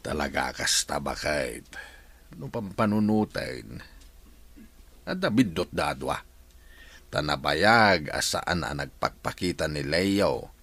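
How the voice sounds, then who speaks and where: An actor with an Ilocano accent playing a consoling male relative in a radio drama, expressive and soothing. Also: very deep voice, slow pace, pauses often, dry recording, exaggerated voice. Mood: reflective.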